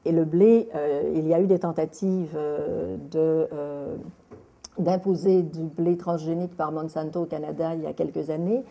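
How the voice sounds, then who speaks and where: A middle-aged woman, a documentary filmmaker answering an interview, pausing often on filler hesitations. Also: sits low, fairly even intonation, creaky, dark tone. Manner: halting, many filler hesitations.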